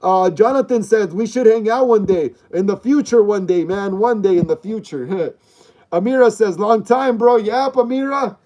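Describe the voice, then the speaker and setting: A man in his thirties hosting a casual livestream, reading out viewer comments and chatting in a relaxed, repetitive way with slang. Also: high-pitched, moderate pace, dull audio. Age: 30s. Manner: chatty and repetitive, uses slang like bro and dog. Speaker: livestream host.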